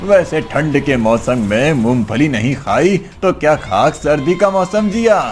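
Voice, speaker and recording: A greedy cartoon villain, acted by a man, rich, smug and abusive. He sneers and scolds in a fairly high voice. Faint music sits behind him. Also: lively intonation, a little slow. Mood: smug, savouring.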